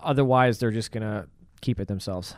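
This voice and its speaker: A man's voice: fairly low pitch, brisk, no echo. A man chatting informally as a podcast co-host, relaying news stories in loose, profane everyday language. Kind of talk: casual chat, paraphrasing a news article.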